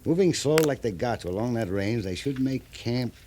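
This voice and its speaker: An actor playing a shrewd, plainspoken frontier scout with a rural western American accent in a 1950s radio drama, folksy and matter-of-fact. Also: male voice, medium pitch, lively intonation, moderate pace, a little mellow, lightly acted. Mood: shrewd, measured. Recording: faintly noisy 1950s radio recording.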